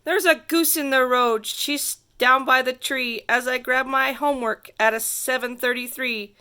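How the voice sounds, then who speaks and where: A woman reading a rhyming picture book aloud to children in a theatrical, sing-song way with drawn-out emphasis. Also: high voice, steady tone, pushed and bright, clean tone. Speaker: storybook reader.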